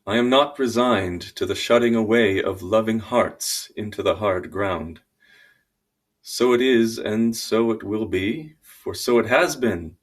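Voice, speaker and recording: Male poetry reader: deep, unhurried, grave and deliberate delivery. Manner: solemn, deliberate. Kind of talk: dramatic poetry reading.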